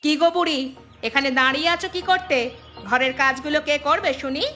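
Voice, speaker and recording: A woman playing a sharp-tongued, nagging village mother in a cartoon. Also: sits high, a little fast, soft background music. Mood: angry scolding. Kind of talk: Bengali cartoon dialogue acting.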